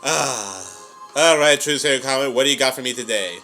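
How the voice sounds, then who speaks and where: A man's voice: medium pitch, brisk, sharp tone. A man giving casual, playful commentary as a reaction host, chatty and given to exclaiming. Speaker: reaction host and amateur voice actor. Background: music from the audio drama.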